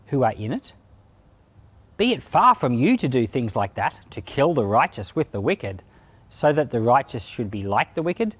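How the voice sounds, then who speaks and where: A man reading scripture as narration, with expressive delivery and deliberate phrasing. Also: medium pitch. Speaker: Bible podcast reader and pastor.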